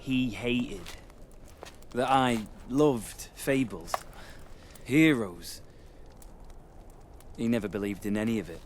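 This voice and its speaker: A young actor playing a reluctant young hero who talks in an earnest, hesitant way. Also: male voice, mid-range voice, takes it slowly, long pauses, a little hiss or hum, close to an everyday voice. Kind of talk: video game cutscene acting.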